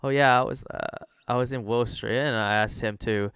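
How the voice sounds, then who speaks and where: A man's voice: medium pitch, fairly even intonation, normal speed, fairly bright tone, clean tone, no room sound, studio quality. A young adult man streaming a game, chatting with viewers, thanking them by name and joking about himself. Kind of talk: live-stream gaming chat and reading aloud.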